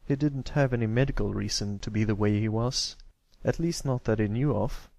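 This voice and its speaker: A man's voice: fairly low pitch, normal speed, vocal fry, a little mellow, no echo. A man reading scripted story narration as an amateur audiobook reader.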